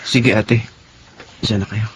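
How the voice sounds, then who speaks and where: A man's voice: lowish voice, fairly even intonation, takes it slowly, a bit bright, a little hiss or hum, rough recording. A man with a Filipino accent playing an anxious, protective younger brother in a radio drama, agitated and emotional. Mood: resigned.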